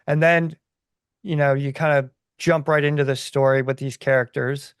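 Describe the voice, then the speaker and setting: A man giving his opinions in a casual podcast chat, enthusiastic but halting as he thinks aloud. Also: mid-range voice, steady tone, clean tone, no echo, studio quality.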